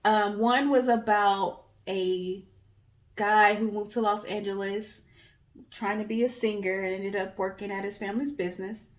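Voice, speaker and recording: A woman's voice: medium pitch, steady tone, moderate pace. Casual woman co-hosting a storytelling show. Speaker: co-host of a storytelling guessing show.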